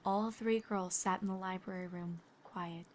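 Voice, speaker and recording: A woman's voice: medium pitch, fairly even intonation, unhurried, a little mellow, slight background noise. A woman reading scripted story narration. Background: music.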